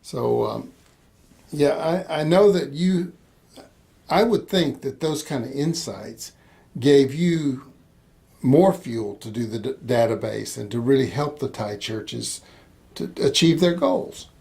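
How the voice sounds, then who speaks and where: An older man with a noticeably gravelly, creaky voice at an ordinary middle pitch. He hosts a casual interview, rambling a little and laughing easily as he works through his thoughts. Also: lively intonation, slow pace, dark tone. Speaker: interviewer, missions organization host.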